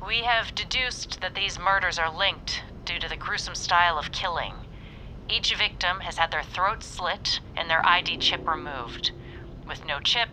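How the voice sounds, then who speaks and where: Earnest detective at a press briefing: piercing, official delivery, deliberate, slight rumble behind. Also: female voice, bright, forceful tone, low-quality recording. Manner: formal, firm, deliberate. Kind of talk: press briefing speech in audio drama. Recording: radio news clip with faint rumble.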